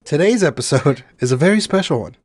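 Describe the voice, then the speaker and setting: A man's voice: a little high, animated, brisk, a little mellow, no echo, clean studio sound. A man from Mexico co-hosting a comedy podcast, bantering playfully, stretching words for emphasis and dropping in Spanish. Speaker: podcast co-host.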